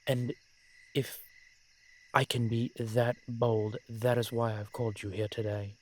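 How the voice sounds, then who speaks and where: A man playing a brooding, protective vampire in an audio roleplay, speaking in formal, measured phrases with dramatic pauses. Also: mid-range voice, level tone, slight background noise, natural voice. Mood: exasperated, teasing. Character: brooding, protective male vampire, old-fashioned and frustrated. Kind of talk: scripted audio roleplay acting addressed to the listener.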